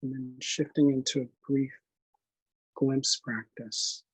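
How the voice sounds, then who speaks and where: A man leading a guided meditation in a gentle, unhurried manner, leaving long pauses between short phrases. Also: mid-range voice, steady tone, slightly rough, poor audio. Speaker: meditation instructor.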